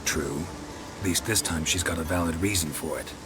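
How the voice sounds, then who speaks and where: An actor playing a gruff, terse middle-aged monster hunter in a game cutscene, speaking in flat, clipped replies. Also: male voice, low-pitched, normal speed, noisy background, close to an everyday voice. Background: game score music.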